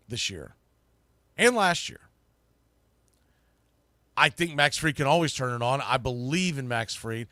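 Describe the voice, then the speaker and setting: A man's voice: fairly high pitch, a bit bright, dry recording. A man hosting a sports podcast, giving emphatic, opinionated analysis and repeating phrases for stress. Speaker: sports podcast host, play-by-play broadcaster.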